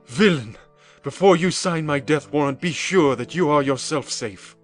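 A man reading the lines of a defiant young scientist, forceful and raised in declamation. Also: fairly high pitch, normal speed, pitched above the performer's own voice, lightly acted. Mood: defiant fury. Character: defiant young male scientist, furious and resolute. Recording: music bed underneath.

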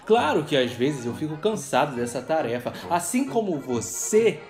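An actor playing an earnest, dignified male angel in an audio drama, speaking in a formal, measured way. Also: on the high side, sing-song, darkish tone, faint music behind.

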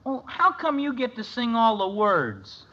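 A man's voice: very high voice, wildly swooping pitch, measured pace, bright, forceful tone, full character voice. An actor playing a whiny, naive, argumentative young boy in an old radio comedy. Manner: complaining, naive. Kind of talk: 1940s-50s radio sitcom acting. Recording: dull, hissy old radio recording.

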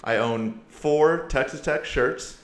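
Animated young man in an echoey room. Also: medium pitch, slow. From born in South Korea, raised in Iowa and Asia. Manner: animated storyteller, laughs often, rhetorical questions. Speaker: podcast guest. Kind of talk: casual chat.

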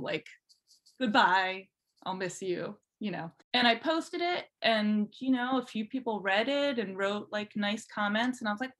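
A woman's voice: mid-range voice, fairly bright tone. A woman chatting informally as an interview guest, telling her own story with lots of "like" along the way. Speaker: podcast guest, fan fiction writer. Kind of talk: casual chat, telling personal story.

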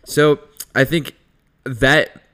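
A man's voice: mid-range voice, fairly even intonation, very slow, a bit bright. A man hosting a podcast in casual conversation, upbeat and slangy. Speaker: podcast host.

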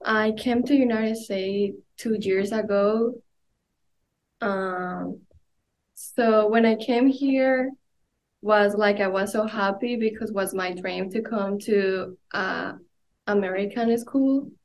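A woman's voice: mid-range voice, low-quality recording. A teenage female student from Colombia with a Colombian accent and Spanish as native language, giving casual interview answers. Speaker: student guest.

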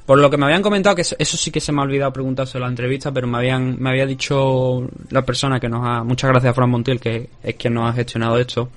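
A man's voice: mid-range voice, steady tone, very fast, hardly any pauses. Chatty Spanish-accented male podcast host. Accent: Spanish from Spain. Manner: chatty, rambling with many restarts. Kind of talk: casual chat. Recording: remote call.

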